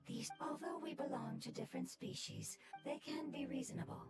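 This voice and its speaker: A wise little creature spirit played by a woman talks in a high, childlike voice. It is solemn, formal and measured, an anime game performance under loud music. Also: natural voice. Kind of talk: anime video game dubbing.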